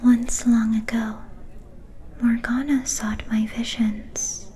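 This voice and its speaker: A woman playing a mysterious, soft-spoken vampire seer in an ASMR fantasy roleplay, whispering in a breathy, ominous and cryptic way. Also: fairly high pitch, flat delivery, rough recording. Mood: knowing, quietly scornful. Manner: slow, deliberate, cryptic.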